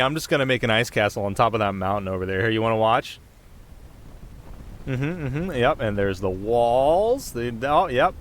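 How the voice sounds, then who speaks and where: Guy doing a cocky teasing winter spirit for asmr roleplay, sarcastic, pitch jumps around a lot, some ambient noise behind him. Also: natural voice. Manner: sarcastic, flippant, casual banter. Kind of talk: ASMR roleplay acting, addressed to the listener.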